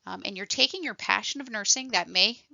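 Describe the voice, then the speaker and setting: A woman's voice: medium pitch, brisk, creaky, pushed and bright, no echo, muffled recording. A woman who works as a telephone triage nurse, giving a rambling, enthusiastic online presentation full of fillers and restarts. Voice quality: self-described voice like a frog puppet. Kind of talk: casual motivational presentation.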